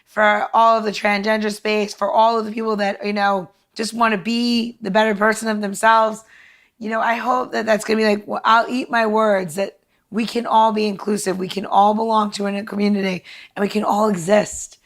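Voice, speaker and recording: A middle-aged woman hosts a podcast in a casual way. Her voice sits at a medium pitch. She talks informally and repeats phrases to make her point. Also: flat delivery, moderate pace. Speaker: podcast host. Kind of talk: casual chat.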